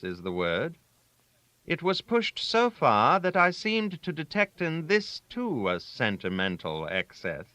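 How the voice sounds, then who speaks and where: A man narrating a literary story aloud, with a theatrical, wry manner and deliberate emphasis on key words. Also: medium pitch, sharp tone, no echo, rough recording. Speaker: audiobook narrator.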